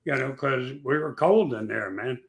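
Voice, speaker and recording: A man with a raspy voice, a podcast guest telling rambling, folksy stories in casual chat. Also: medium pitch, expressive, moderate pace. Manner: rambling, folksy, with many fillers. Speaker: podcast guest, former track owner.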